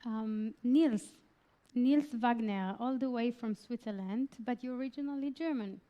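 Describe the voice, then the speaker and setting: A woman's voice: on the high side, unhurried, mellow, lo-fi sound. A woman moderating a conference panel, friendly, with laughing asides.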